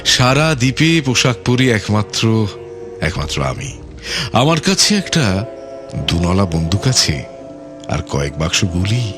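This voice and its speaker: An actor playing a controlling middle-aged island overlord in a radio play, deliberate and courteous with menace, repeating phrases with relish. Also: male voice, lowish voice, very animated, music in the background. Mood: guarded, lordly.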